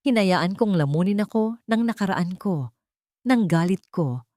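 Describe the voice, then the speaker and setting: Female narrator as humbled proud male CEO, Filipino accent, halting remorseful pleas, storybook drama, dry sound. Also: on the low side, lively intonation, dark tone, no room sound. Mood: remorseful, pleading. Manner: halting, repeating phrases in pleading.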